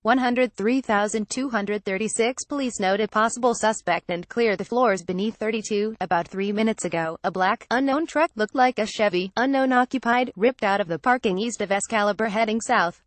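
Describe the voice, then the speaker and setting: A woman's voice: medium pitch, moderate pace, no room sound. A woman reads scripted news aloud as a narrator, in a synthetic, literal manner. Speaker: news reader.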